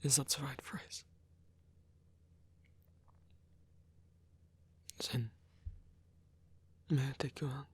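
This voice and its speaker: A man playing a lonely, courteous old vampire in an intimate ASMR roleplay, speaking in a breathy, hesitant, softly formal way. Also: medium pitch, level tone, a little slow. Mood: shy, apologetic. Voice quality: breathy, husky, gravelly whisper.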